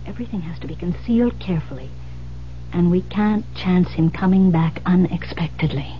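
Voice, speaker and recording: A woman playing a composed, determined confidante in an old-time radio thriller, earnest, deliberate and reassuring. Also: lowish voice, close to an everyday voice. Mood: earnest, insistent. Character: Iris, composed, determined woman coaching a frightened friend. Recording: muffled old radio recording with noise, hum.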